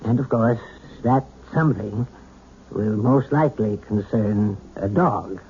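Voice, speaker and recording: An actor playing a calm, clinical psychiatrist in a radio drama, explaining things in a measured, reassuring way. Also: male voice, on the low side, dull audio, very noisy, natural voice. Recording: thin, band-limited old radio recording.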